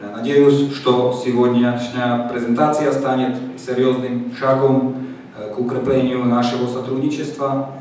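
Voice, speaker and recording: Flat-voiced male official in a big hall. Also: mid-range voice, normal speed, slight background noise. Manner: steady, flat delivery with many fillers. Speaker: embassy representative. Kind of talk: formal welcome speech. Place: large conference hall. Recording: echoey hall from far off.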